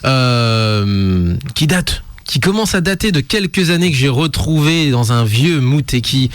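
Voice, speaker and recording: A man's voice: mid-range voice, animated, measured pace, no echo. Dry-sounding male radio host, rambling. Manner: rambling, self-correcting, many fillers. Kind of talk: casual radio chat.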